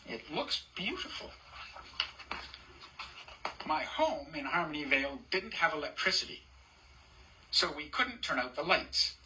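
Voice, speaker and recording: Older male storybook reader, high-ish, very bright voice; chatty, asks the kids questions between pages. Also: rough recording. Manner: warm, chatty, stops to ask children questions and point at pictures. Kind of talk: reading a picture book aloud to children.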